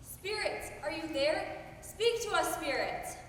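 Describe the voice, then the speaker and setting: A woman's voice: extremely high pitch, slow pace, a little hiss or hum. A woman playing a self-assured spiritualist medium, solemn and theatrical. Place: stage in a large hall. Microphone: distant camera mic. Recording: echoey hall, distant mic.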